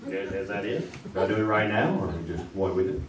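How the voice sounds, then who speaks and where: A man's voice: low voice, fast. A man hosting a quiz show with joking, slightly bumbling comic banter. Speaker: game show host. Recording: very noisy, echoey, distant stage microphone.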